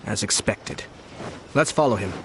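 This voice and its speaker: A man's voice: on the low side, lively intonation, slow, fairly bright tone, slight background noise. An actor playing a composed, knowing man leading a pursuit in a video game. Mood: composed, intent. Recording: game music clearly behind. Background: game music.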